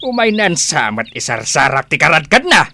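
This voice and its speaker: A woman's voice: low voice, big pitch swings, moderate pace, vocal fry, sharp tone, a little hiss or hum, full character voice. A woman playing a hot-tempered village father in a comedy radio drama, scolding and exclaiming. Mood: irritated, scolding. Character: gruff, hot-tempered village father guarding his pregnant daughter.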